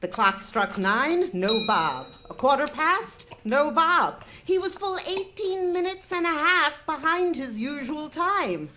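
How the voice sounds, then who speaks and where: A woman narrating a story theatrically and deliberately in a live radio drama. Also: high voice, animated, a little hiss or hum. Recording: loud music behind live narration.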